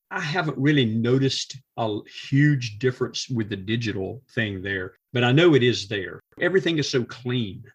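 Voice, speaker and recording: A man's voice: medium pitch, husky, dull audio. A middle-aged man, a musician guest on a podcast, chatting casually and enthusiastically about music, repeating words for emphasis. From United States.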